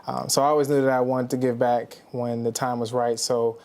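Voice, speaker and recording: A man who is a mentor answers interview questions, with many pauses and "um"s. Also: medium pitch, little pitch movement, darkish tone. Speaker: mentor guest.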